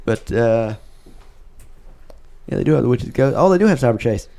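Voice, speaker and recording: A man's voice: mid-range voice, animated, normal speed, dark tone. A man hosting a casual podcast chat, rambling and correcting himself, his voice worn from a lot of talking. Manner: rambling, self-correcting, wraps up the show. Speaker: podcast host.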